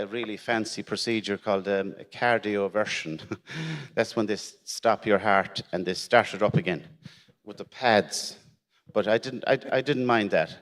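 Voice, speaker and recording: A middle-aged Irish man with a lowish voice, recorded with a long hall reverb. His first language is Irish, and he tells his story haltingly, often repeating words and laughing wryly. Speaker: shed member telling his story. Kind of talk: personal story at a conference. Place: conference hall.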